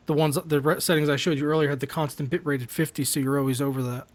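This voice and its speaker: Fast-talking excitable man. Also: on the high side. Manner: fast, excitable, swears, repeats words. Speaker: host. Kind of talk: casual tech chat.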